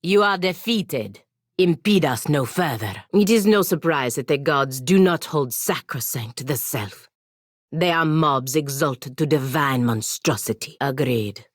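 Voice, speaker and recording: A proud, disdainful warrior in a fantasy video game. Her voice is extremely low for a woman, and she speaks in formal, measured, blunt phrases with a stern edge. The recording is dry, with no room sound. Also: animated, no echo. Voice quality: very low-pitched voice. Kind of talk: video game voice acting, formal scripted dialogue.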